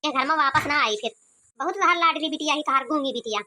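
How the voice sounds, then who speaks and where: A woman's voice: high voice, fast, background noise, natural voice. A woman voicing a resentful, complaining young college girl in an Awadhi cartoon comedy, with an Awadhi accent. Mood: sulky, indignant. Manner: complaining, scolding. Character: resentful, sharp-tongued young college-going younger daughter.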